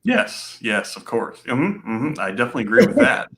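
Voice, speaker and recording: A man's voice: mid-range voice, very animated, moderate pace, fairly bright tone, slight background noise. An American man hosting a casual moderated conversation, asking loosely phrased questions that circle before they land. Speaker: interview host, state chapter president.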